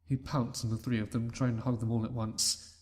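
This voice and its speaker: Brief: male narrator, calm storybook reading, soft mellow tone, middle pitch. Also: a little fast. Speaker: reader. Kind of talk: fan fiction story narration.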